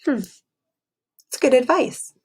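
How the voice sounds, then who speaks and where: A woman with a fairly high voice speaks as a teacher to young children. She explains in simple words and puts questions to her listeners. Her delivery is expressive, in the style of a classroom read-aloud. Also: slow pace, slightly rough.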